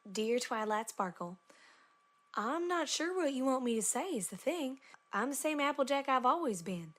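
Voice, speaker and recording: A woman's voice: high voice, big pitch swings, normal speed, a bit bright, exaggerated voice. A woman voicing a plain-spoken, hardworking cartoon farm mare with a Southern US accent and folksy manner. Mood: matter-of-fact. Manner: folksy, matter-of-fact. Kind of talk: cartoon character letter reading.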